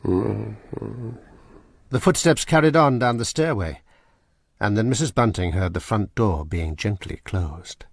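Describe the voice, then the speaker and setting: A man narrating a radio drama in a measured, dramatic storytelling manner. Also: lowish voice, animated, gravelly, dry recording, clean studio sound. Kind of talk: scripted narration.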